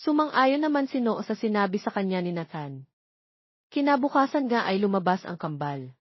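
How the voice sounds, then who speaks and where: A woman's voice: medium pitch, sing-song, normal speed, no echo, highs cut off. A woman reading scripted story narration as the narrator of an audio romance.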